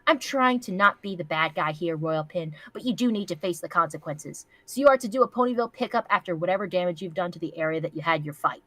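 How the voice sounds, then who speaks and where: A woman's voice: on the low side, quick pace, hardly any pauses, dry recording. A woman voicing a stern pony authority figure, scolding and admonishing as punishment is handed out. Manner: forceful, admonishing.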